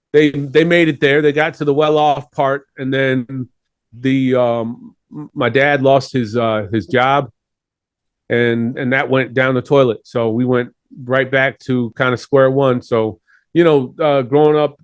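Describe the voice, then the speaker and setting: A man's voice: mid-range voice, smooth, dry recording. A man from Chile, talking earnestly and at length as a guest in a casual interview. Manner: earnest, rambling.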